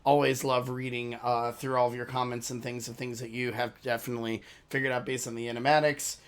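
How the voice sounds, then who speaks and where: Hoarse man chatting casually with viewers. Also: medium pitch, little pitch movement, fairly quick. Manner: rambling, apologetic, loses his train of thought. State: hoarse from a lost voice. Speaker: reaction channel host.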